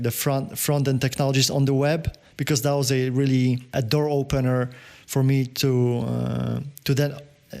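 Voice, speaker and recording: A man from Poland, answering interview questions as a podcast guest in a halting way, full of fillers and restarts. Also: medium pitch, a little mellow. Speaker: podcast guest, business owner.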